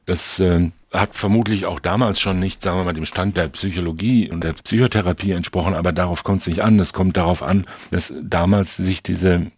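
Male expert guest, very low and rough-edged voice, measured and precise in analytical discussion. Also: fairly even intonation, no echo. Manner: measured, precise, explanatory with legal terms.

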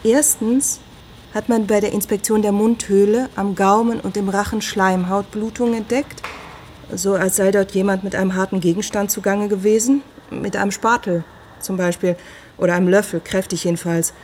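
An actress in a radio drama playing a brisk, frank hospital doctor who talks in a direct, matter-of-fact way. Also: female voice, mid-range voice, fairly even intonation, a little mellow, slight background noise, close to an everyday voice. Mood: matter-of-fact, concerned.

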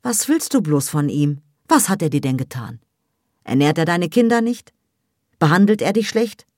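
A stern older mother played by a woman narrator in an audiobook scene. She is insistent and reproachful, scolding in a low female voice with lifted emphasis. The sound is dry and close. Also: lively intonation, measured pace, a little mellow, breathy edge, dry recording, exaggerated voice. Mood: accusing. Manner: scolding, pressing with rhetorical questions. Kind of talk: audiobook dialogue.

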